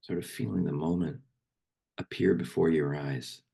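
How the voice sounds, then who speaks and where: A man speaking as a meditation guide and poetry reader, slow and unhurried, with long pauses between phrases. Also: rumbling low, gravelly, warm tone, husky.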